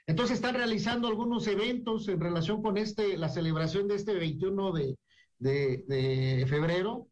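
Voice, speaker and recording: Male radio host, measured. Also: sits high, darkish tone, lo-fi sound. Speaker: radio talk show host. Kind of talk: radio interview.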